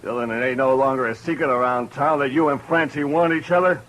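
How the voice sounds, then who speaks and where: A man's voice: mid-range voice, fairly even intonation, quick pace, fairly bright tone, lightly acted. A man playing a vengeful townsman who accuses and threatens a lawman in a 1950s radio western, his speech hostile and bitter.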